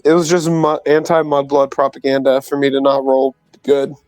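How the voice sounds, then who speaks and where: A man's voice: mid-range voice, level tone, normal speed, darkish tone, no echo, soft background music. A young man playing in a tabletop game, easygoing and joking. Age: young adult. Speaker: player. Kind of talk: casual tabletop play.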